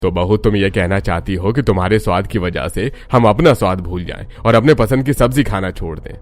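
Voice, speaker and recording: A man voicing a gruff stubborn old father. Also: fairly low pitch, a little fast, lightly acted. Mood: stern. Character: stern old father-in-law, head of the house. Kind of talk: cartoon voice acting.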